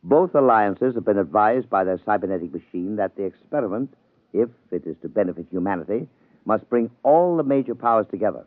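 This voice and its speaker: An actor playing a formal, measured head of state in a 1950s radio drama. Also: male voice, fairly low pitch, expressive, dry recording, narrow, tinny audio, natural voice. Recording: dry telephone-thin radio broadcast.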